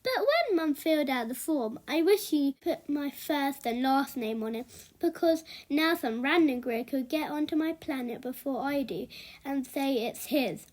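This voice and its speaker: A woman reading a children's book aloud as an expressive storyteller. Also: high-pitched, moderate pace.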